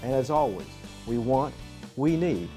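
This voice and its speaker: A man, reading his own car dealership radio ad as a folksy sales pitch. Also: huge pitch swings, unhurried, creaky, music in the background. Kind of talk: radio ad read.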